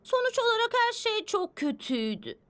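A woman's voice: extremely high pitch, big pitch swings, fairly quick, background noise. A woman voicing a gloating mutant impostor girl in a cartoon dub, taunting and telling her tale dramatically. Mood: dramatic, self-pitying. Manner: smug, taunting, dramatic storytelling. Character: gloating butter-mutant girl impersonating a child superhero. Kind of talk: animated cartoon dub acting.

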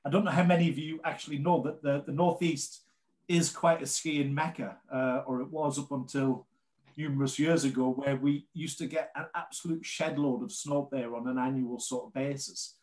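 A man's voice: mid-range voice, fairly even intonation, normal speed, darkish tone, low-quality recording. A man in his late forties with a Geordie accent, presenting chattily and informally as a guest on a video call. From North East England. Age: late 40s. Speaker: ski brand representative and guest presenter.

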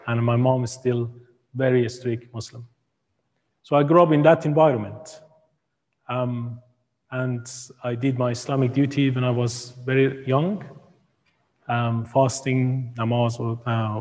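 A man in his thirties from Iran, speaking English with an Iranian accent, giving a halting personal testimony as a guest speaker in a church hall. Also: mid-range voice, a little mellow. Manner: halting, with many ums and broken grammar. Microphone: far camera. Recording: echoey hall from far camera.

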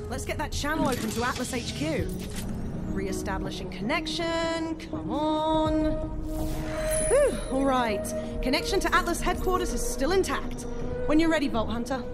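An actress playing a brash, battle-hungry young woman resistance fighter with a British accent and cheeky, punchy delivery in a video game. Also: high voice. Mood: pleased, brisk. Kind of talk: video game combat dialogue. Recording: loud game music and gunfire.

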